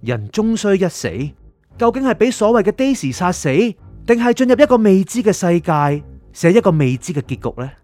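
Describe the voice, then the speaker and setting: A man's voice: high-pitched, sing-song, brisk, mellow. An actor with a Hong Kong Cantonese accent playing an anxious, curious male writer who narrates his story introspectively in a radio drama. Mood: pensive. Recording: music under the voice.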